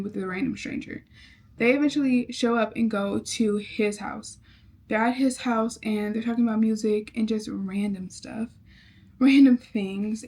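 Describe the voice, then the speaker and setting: A woman's voice: a little high, darkish tone. A young woman casually recapping a novel she is reading, chatty and rambling, with lots of fillers. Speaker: book vlogger.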